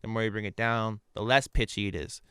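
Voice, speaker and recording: A man with a nasal voice who hosts a casual software tutorial in a relaxed, loose way, with fillers and offhand asides. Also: on the low side, brisk, clean tone, no echo, studio quality. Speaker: audio tutorial host. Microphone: studio condenser microphone.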